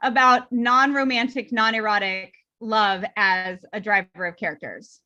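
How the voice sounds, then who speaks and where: A woman's voice: mid-range voice, fairly even intonation, a little slow, sharp tone. A woman from the US moderating a panel over a video call in a chatty, upbeat way. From United States. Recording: slightly dull lo-fi audio.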